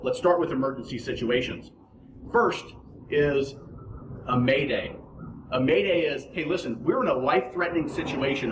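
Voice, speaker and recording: A man's voice: medium pitch, slight background noise. Man giving practical instruction, roomy echo. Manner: plain-spoken, practical, addresses listener directly. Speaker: boating instructor and host. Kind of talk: informal instructional explanation.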